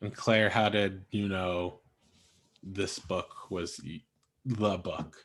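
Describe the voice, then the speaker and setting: A man's voice: low voice, steady tone, slow pace. A man moderating a panel, putting questions to guests in a conversational way.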